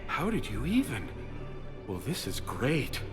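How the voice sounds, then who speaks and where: An actor playing an awkward, eager human fan in a video game cutscene, talking in a nervous, rambling way. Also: male voice, mid-range voice, wildly swooping pitch, a little slow, over music. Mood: excited.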